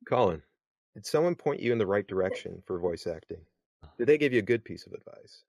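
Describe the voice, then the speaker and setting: A man hosting a voice acting podcast, talking in a relaxed, chatty way and laughing often. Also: fairly low pitch, fairly quick, vocal fry, no room sound. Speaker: podcast host. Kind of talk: casual podcast chat.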